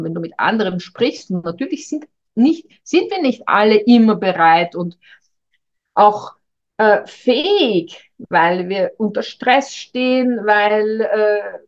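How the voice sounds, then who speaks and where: A middle-aged woman, speaking as a communication coach in a thoughtful, hesitant way and addressing the listener directly. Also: mid-range voice. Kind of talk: reflective advice monologue. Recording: dull lo-fi recording.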